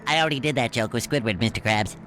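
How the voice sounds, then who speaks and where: A man voicing an eager, naive, cheerful young sea sponge with a high nasal voice in an animated video game. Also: fast, close to an everyday voice. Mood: perky. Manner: perky, earnest. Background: loud music.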